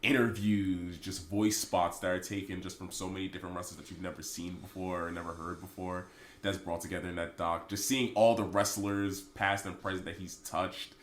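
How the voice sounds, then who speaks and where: A man with a congested, sick-sounding voice holds forth on a casual podcast. He sits on the lower side and rants with firm opinions. The room around him rings with echo. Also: level tone, moderate pace. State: stuffy, sick voice. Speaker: podcast co-host. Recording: echoey room.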